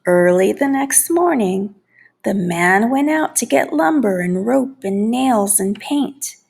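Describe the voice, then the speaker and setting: Slow, creaky-voiced woman reading a storybook. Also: on the high side, expressive, a little mellow. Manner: deliberate, lingering on key words. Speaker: storybook reader. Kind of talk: expressive children's read-aloud narration.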